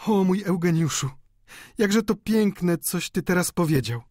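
Young devoted daughter, put on by a man, audiobook: raised pitch, affectionate, emotional, dry sound. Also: animated, measured pace, a little mellow, no room sound. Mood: moved, admiring. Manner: gushing, tender.

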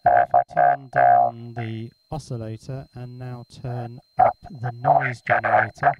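A man's voice: mid-range voice, animated, unhurried, recorded from a distance, phone-quality sound. A man casually demonstrating electronics, his voice processed through a robotic vocoder effect. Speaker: electronics hobbyist presenter.

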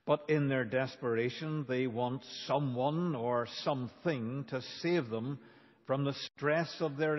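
A man preaching a sermon as a pastor, in a deliberate, emphatic manner with dramatic pauses. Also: on the high side. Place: large reverberant church. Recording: dull, reverberant church hall recording.

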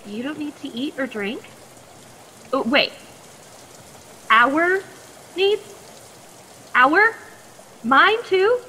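Woman as a high, plucky, nervous teenage girl. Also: expressive, very slow, pauses often, bright, forceful tone, exaggerated voice. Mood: indignant. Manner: chatty, flustered, stammering politely. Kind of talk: radio play acting. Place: video call performance. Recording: long echoing reverb, faint noise.

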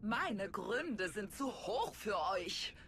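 A woman's voice: medium pitch, very animated, drawn-out words, a bit bright, slight background noise, heavily acted. A woman playing a deranged, haughty Sith villain in a video game, theatrical and distracted as she speaks. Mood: dismissive, haughty. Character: deranged female Sith villain, muttering and dismissive. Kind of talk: dubbed video game cutscene acting.

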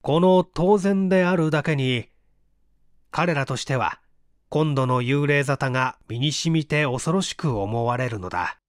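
A man narrating a literary mystery tale in a measured, dramatic storytelling manner with deliberate pauses. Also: mid-range voice, dry recording, studio quality. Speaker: audiobook reader, stage actor.

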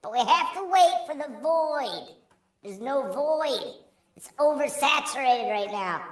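A very high, processed voice from a voice changer, performing improvised mock stand-up in a game lobby. The delivery is loud, theatrical and prone to sing-song repetition. It sounds roomy and set back from the microphone. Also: expressive, measured pace, far from the mic. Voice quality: altered high-pitched voice. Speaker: amateur comic and room admin in an online comedy game. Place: online game voice chat. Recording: roomy echo, off-mic game voice chat.

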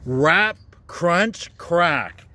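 A man reading a children's storybook aloud as narrator, with deliberate, dramatic phrasing. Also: on the high side, lively intonation, pushed and bright. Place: outdoors on a park trail.

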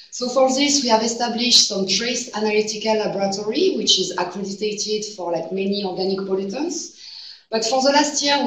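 A woman in her thirties with a French accent, giving an academic seminar talk and leaning on "like" as a filler. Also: fairly high pitch. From France. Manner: non-native phrasing with frequent "like" fillers. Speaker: guest researcher presenting a seminar. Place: seminar room. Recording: echoey room, distant mic.

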